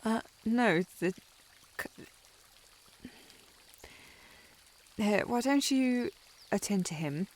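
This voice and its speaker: A woman's voice: fairly high pitch, animated, a little slow, a little mellow. A woman playing a haughty young upper-class lady in a historical audio roleplay, formal and teasing in manner. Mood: polite, flustered.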